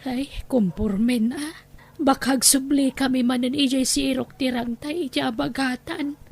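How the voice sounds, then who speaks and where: A woman's voice: high-pitched, steady tone, normal speed, a little mellow, husky. A woman playing a humble, pleading older wife and grandmother with an Ilocano accent in an audio drama.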